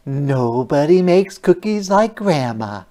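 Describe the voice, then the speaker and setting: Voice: medium pitch, very animated, slow, dark tone. Storyteller putting on a singsong, welcoming mother. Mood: wistful. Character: welcoming mother proud of plain home baking.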